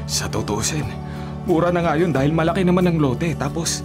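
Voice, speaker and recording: A man's voice: fairly high pitch, animated, a little fast, over music, natural voice. An actor with a Filipino accent playing an earnest, cautious working-class husband and father in a radio drama. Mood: assured. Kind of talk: Tagalog radio drama acting.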